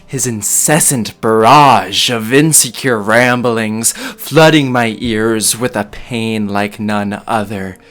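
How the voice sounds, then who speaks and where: A man's voice: lowish voice, a bit bright. A man playing a deranged, grandiose murderer in a theatrical gothic monologue, speaking in pompous, melodramatic, archaic phrases. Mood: brooding, bitter. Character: deranged, grandiose sandwich-shop murderer confessing his crime. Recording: faint music behind the voice.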